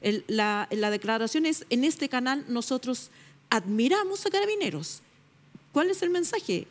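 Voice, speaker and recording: A woman's voice: high voice, very animated, moderate pace. Emphatic woman debating on a studio panel. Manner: emphatic, searching for words with many fillers. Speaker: journalist panelist. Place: television studio. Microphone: lapel mic.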